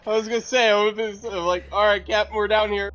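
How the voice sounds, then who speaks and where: Higher-pitched man, slightly muffled, folksy. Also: fairly even intonation, fairly quick, sharp tone. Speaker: player in a tabletop game. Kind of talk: casual in-character table talk. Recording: slightly dull audio, faint music behind.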